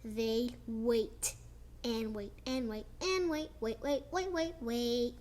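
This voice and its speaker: A young girl reading a children's picture book aloud, slowly and expressively, with chatty asides about the pictures. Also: a little high, a little mellow, a little hiss or hum. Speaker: child storyteller.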